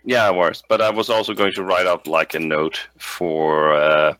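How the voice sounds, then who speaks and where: A man with a lowish but crisp, forward voice plays a tabletop roleplaying game. He talks casually, repeating words as he works out his plans. The recording is dry and close. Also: little pitch movement, normal speed, fairly bright tone, no echo. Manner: hesitant, repeating words while thinking aloud.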